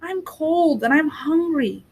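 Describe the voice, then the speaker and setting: A woman's voice: high-pitched, expressive, slow, voice pushed up, heavily acted. A woman voicing a hungry, forlorn young boy in a storybook, speaking plaintively and earnestly. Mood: plaintive, eager.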